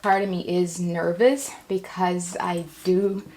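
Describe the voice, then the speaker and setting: A woman vlogging casually and reflectively, sounding nervous, with halting speech that circles back and repeats phrases for emphasis. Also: on the low side, little pitch movement, a little mellow, rough recording. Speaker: vlogger, writer and storyteller. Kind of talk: casual, reflective vlog talk.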